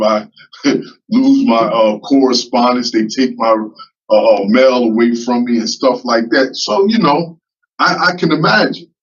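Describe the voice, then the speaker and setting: A man in his fifties at a parole hearing, speaking haltingly with many fillers and repeats. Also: medium pitch, fairly bright tone, breathy edge. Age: 50s. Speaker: parole applicant. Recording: muffled distant remote-call audio.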